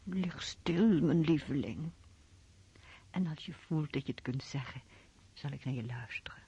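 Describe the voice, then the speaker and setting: An actress playing a gentle, pious, middle-aged mother in a radio play, speaking in a hushed, breathy voice. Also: low-pitched, unhurried, dry recording, heavily acted. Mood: tender, solemn. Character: gentle, pious, loving mother of a teenage girl. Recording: dull old radio recording.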